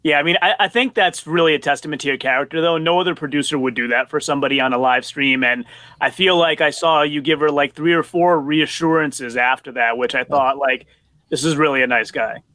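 A man's voice: fairly high pitch, steady tone, fairly quick, sharp tone. A middle-aged man chatting casually and supportively as an interviewer on a video call. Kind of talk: casual chat. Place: indoor home office on a video call.

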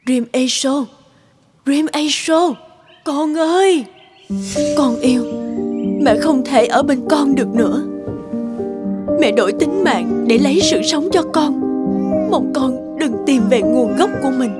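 A woman voicing a tender, sorrowful dying mother in an animated fairy tale, pleading and loving. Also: high-pitched, takes it slowly, pauses often, over loud music.

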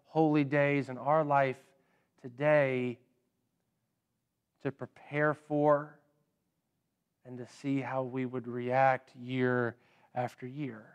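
A man in his thirties delivers a sermon in a church sanctuary at a slow, deliberate pace, his voice smooth and clear. He often repeats a word before moving on, and gestures as he stands to preach. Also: medium pitch, little pitch movement, muffled recording. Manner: unhurried, with frequent word repeats and pauses. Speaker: pastor.

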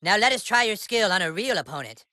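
A man's voice: high-pitched, sing-song, quick pace, pushed and bright, no room sound, full character voice. A bossy nasal boy wizard. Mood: pompous. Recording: game audio with music behind.

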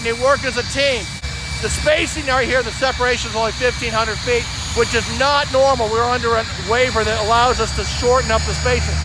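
A middle-aged American man hosting an informal explanatory tour outdoors, talking emphatically into a phone held at arm's length. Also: extremely high pitch. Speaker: air traffic controller giving a tour as host. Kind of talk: informal explanatory vlog talk. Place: outdoors at an airfield and open grounds. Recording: noisy outdoor airfield with vehicle sounds.

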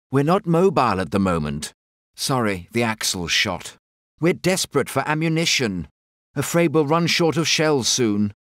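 A man's voice: mid-range voice, fairly bright tone, dry recording, studio quality. A man voicing a polite, deferential British artillery soldier with a British accent, reporting to his officer. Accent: British English. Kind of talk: game unit voice lines.

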